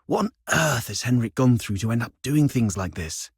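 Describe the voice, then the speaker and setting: An actor playing a worldly, streetwise schemer in a video game cutscene, with a wry, conversational way of talking. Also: male voice, medium pitch, lively intonation, normal speed, husky, no room sound, clean studio sound. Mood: musing, serious.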